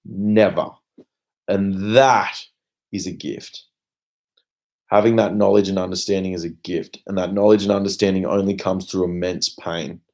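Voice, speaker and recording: Low-voiced, blunt Australian man. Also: fairly even intonation, moderate pace, a little mellow. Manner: blunt, emphatic, sweary, with trailing asides. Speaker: vlogger. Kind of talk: unscripted personal rant to camera.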